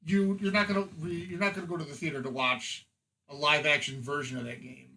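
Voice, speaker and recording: A man's voice: on the high side, steady tone, a little fast, bright, forceful tone, slight background noise, poor audio. A man chatting informally as a podcast panelist, giving his opinions in a casual, conversational way. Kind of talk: casual opinionated film and game chat.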